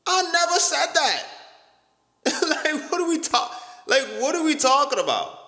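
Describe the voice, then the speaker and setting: A man hosting a reaction video in casual, slangy talk, incredulous and animated, protesting loudly. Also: measured pace, echoey room, big-room sound. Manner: animated, incredulous, slangy, pitch jumps high when protesting.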